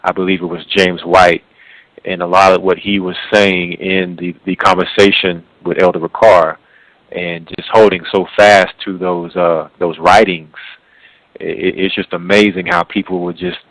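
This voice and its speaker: A man hosting a conversational radio interview, speaking haltingly and often repeating words. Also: sits low, little pitch movement, fairly bright tone, no echo, rough recording. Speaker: radio show host.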